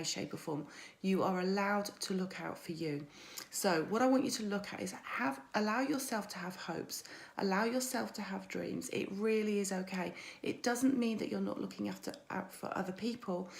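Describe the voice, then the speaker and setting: A woman coach streams live to her followers. Her voice is croaky and gravelly. She chats warmly and greets viewers by name between her points. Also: mid-range voice. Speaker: mindset coach. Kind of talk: weekly social media livestream.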